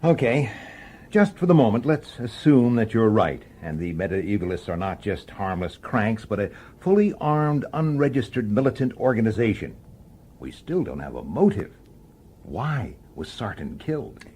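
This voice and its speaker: Sceptical cop, low husky voice, blunt, hot-headed, animated delivery, radio drama. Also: male voice, moderate pace. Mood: argumentative. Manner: blunt, incredulous, argumentative. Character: sceptical, blunt, hot-headed human male police detective.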